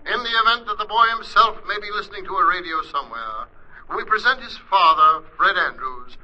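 A formal 1940s radio newsman proclaims urgently. His voice is high and piercingly bright, on a dull vintage broadcast recording. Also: lively intonation, brisk, heavily acted. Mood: solemn.

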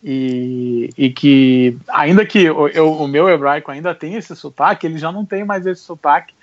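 A man with a Carioca Portuguese accent, telling stories in an animated way during a casual podcast chat. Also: fairly high pitch, moderate pace, dry recording. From Rio de Janeiro, Brazil. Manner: lively, animated storytelling with laughs. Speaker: podcast co-host. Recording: dry, slightly dull audio.

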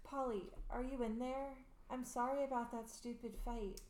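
A woman's voice: fairly high pitch, fairly even intonation, normal speed, a little mellow, natural voice. A woman playing a sweet, pleading sixteen-year-old girl in an amateur radio play. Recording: echoey room.

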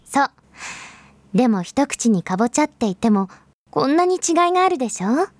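Woman as a gentle mother, fairly high voice. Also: big pitch swings, fairly quick, no echo. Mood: patient, tender. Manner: soft-spoken explaining, patient. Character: gentle, patient mother teaching her small child. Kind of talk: audio drama acting.

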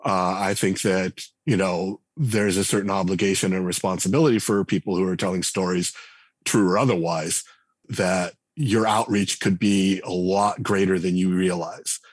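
Guest: low-voiced man, husky, thoughtful and hesitant, dry close-miked read. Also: fairly even intonation, moderate pace, no room sound. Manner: reflective, hesitant with frequent fillers. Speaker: game designer and writer, podcast guest. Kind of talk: casual interview talk.

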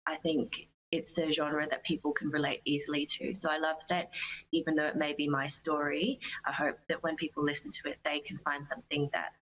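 A young woman with a distinctly low-pitched voice talks as an interview guest. She is reflective and sincere, explaining herself conversationally. Some faint noise sits behind her. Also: little pitch movement, fairly quick, a bit bright, low-quality recording. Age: young adult. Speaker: interview guest, pop singer-songwriter.

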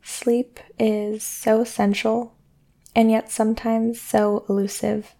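A woman guiding a sleep meditation in a soothing, gentle way, speaking deliberately with long pauses. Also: a little high, flat delivery, gravelly. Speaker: meditation guide and podcast host. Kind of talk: guided sleep meditation, yoga nidra.

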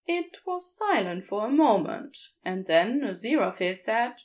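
A woman reading scripted fiction as a narrator, in an expressive storytelling style. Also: on the high side, unhurried. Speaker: podfic narrator.

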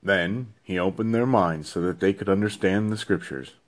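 A man narrating a Bible reading in a measured, solemn way with deliberate phrasing. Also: sits low. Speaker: scripture reader.

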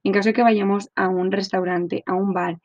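A woman's voice: mid-range voice, level tone, moderate pace, darkish tone. Chatty woman with muffled sound. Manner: chatty and explanatory, many fillers and tag questions. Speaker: podcast host. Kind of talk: informal podcast monologue. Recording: dull lo-fi sound.

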